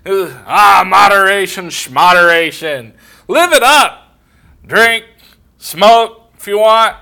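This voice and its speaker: A man playing a boisterous old man who scoffs at moderation, speaking loud and high. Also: animated, slow pace, creaky, full character voice.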